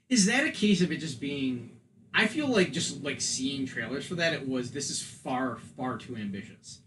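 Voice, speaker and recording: A man's voice: medium pitch, animated, a little slow, background noise. A man talking casually and emphatically as a podcast co-host, giving strong opinions on entertainment. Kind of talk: casual film and game discussion.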